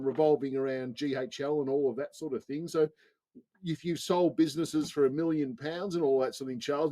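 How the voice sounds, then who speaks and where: A man's voice: on the high side, darkish tone. A man with an Australian accent hosting a casual group video call, chatting in an informal, chummy way. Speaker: host of networking call.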